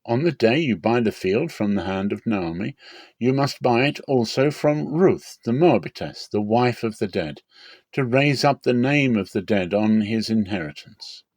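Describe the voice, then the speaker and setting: A man acts out an authoritative landowner of biblical times, earnest and formal. He declaims emphatically, pitched up as if addressing elders, in a dry storybook recording. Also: lively intonation, unhurried, darkish tone, no room sound. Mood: firm.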